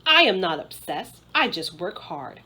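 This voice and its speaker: A woman's voice: on the low side, sing-song, unhurried, pushed and bright, breathy edge, full character voice. A woman voicing an earnest, hardworking schoolgirl in a children's storybook, with an emphatic, insistent way of talking. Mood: defensive, insistent. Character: earnest, intense, hardworking schoolgirl, bossy and defensive. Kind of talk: children's storybook read-aloud.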